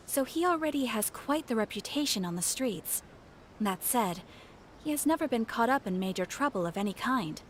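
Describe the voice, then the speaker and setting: A woman's voice: a little high, slight background noise, lightly acted. A woman voicing a businesslike guild receptionist in a video game, with a formal, courteous manner. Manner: formal, courteous, measured phrasing. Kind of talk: scripted game character dialogue. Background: music.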